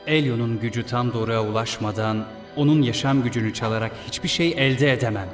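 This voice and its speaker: A man voices a cartoon villain prince of medium pitch. He is formal and composed, putting on kindness over cold scheming. The voice rings with room echo over faint music. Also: fairly quick, close to an everyday voice. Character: scheming villain prince, smooth and falsely kind. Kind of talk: dubbed cartoon acting. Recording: echoey room, music behind.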